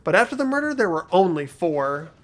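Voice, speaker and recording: A man's voice: high voice, big pitch swings, brisk, a bit bright. A man voicing an anxious, earnest teenage boy in fan-made visual novel game dialogue. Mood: reasoning. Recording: music behind the voice.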